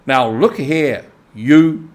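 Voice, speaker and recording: A man voicing an excitable, bossy male rat in a storybook reading, exclaiming emphatically with impatience. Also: mid-range voice, drawn-out words. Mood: angry, urgent. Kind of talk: animal character in storybook reading.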